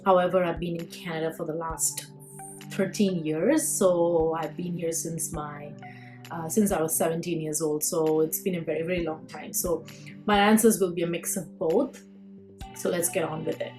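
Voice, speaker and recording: Woman in her thirties, from Bengal, native Bengali speaker, lowish voice, casual vlog chat, faint music behind. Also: fairly even intonation, moderate pace, a little mellow, rough recording. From India, Bengal. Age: 30s. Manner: chatty, many fillers and restarts, reads questions aloud. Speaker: YouTuber. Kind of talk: casual tag video vlog.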